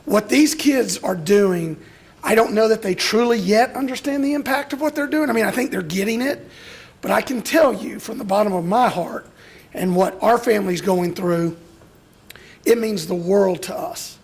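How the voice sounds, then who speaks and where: A hoarse middle-aged man from Alabama, giving a heartfelt, emotional speech to an audience as a father. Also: sits high, normal speed. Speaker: speaker at a youth graduation, father of a boy with Duchenne.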